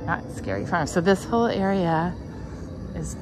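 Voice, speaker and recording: A middle-aged woman, filming on a handheld phone as she walks through a dim indoor gift shop. She chats nostalgically, exclaims often and laughs softly. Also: lowish voice, sing-song, moderate pace, noisy background. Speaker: vlogger touring a theme park store. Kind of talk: casual walkthrough commentary. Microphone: handheld phone camera. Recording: phone video over loud background music. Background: loud spooky music and sound effects.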